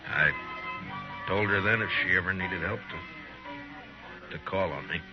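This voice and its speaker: An actor playing a stern, weary frontier marshal in a 1950s radio western, terse and understated. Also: male voice, deep voice, a little slow. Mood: wistful, weary. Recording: dull old radio recording with music.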